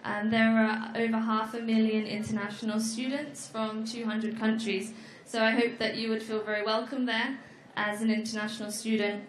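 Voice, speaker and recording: Bright-voiced young woman presenting in a hall. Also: a little high, flat delivery. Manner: friendly, explains slowly with fillers. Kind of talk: informal presentation at an education fair. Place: large echoey exhibition hall. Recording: echoey hall.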